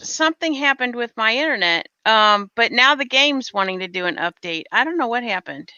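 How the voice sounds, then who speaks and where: Teasing american woman, bright voice, dry close sound. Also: on the high side, lively intonation, a little fast, clear, resonant tone, no room sound, lo-fi sound. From United States. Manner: teasing, talkative. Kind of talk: casual chat.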